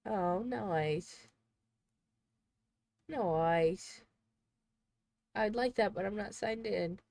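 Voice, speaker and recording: A woman's voice: medium pitch, very slow, darkish tone, no room sound. A young woman in her twenties streaming from home, congested and sniffly, chatting in a rambling, casual way. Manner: rambling, chatty, thinks aloud. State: congested, sneezing. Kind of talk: casual livestream chat.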